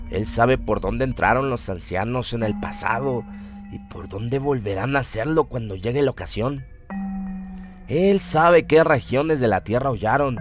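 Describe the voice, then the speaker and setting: Solemn theatrical male narrator over music. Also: medium pitch. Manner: solemn, theatrical, deliberate phrasing. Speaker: narrator of a radio horror drama. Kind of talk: dramatic scripted horror narration, audiobook-like reading. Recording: music under the voice. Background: atmospheric music bed.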